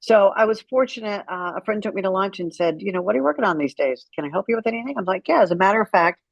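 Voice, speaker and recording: A woman's voice: medium pitch, animated, very fast, vocal fry, dry recording, muffled recording. A middle-aged woman speaking as an interview guest, telling stories in a frank, wry, conversational way. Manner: frank, wry, acts out remembered dialogue. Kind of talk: candid conversational storytelling.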